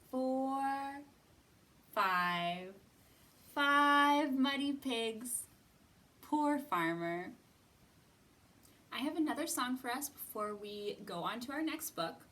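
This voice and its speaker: A woman hosting a children's storytime, reading and counting in an expressive, sing-song way with drawn-out words. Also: fairly high pitch. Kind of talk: expressive read-aloud and counting for young children. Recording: somewhat distant from the mic.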